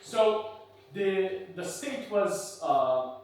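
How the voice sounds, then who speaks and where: A man's voice: high voice, expressive, extremely slow. A man whose first language is Circassian, from Turkey, giving a university talk with slides in a loose, conversational storytelling way. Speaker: guest lecturer. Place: lecture room. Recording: echoey hall, mic far off.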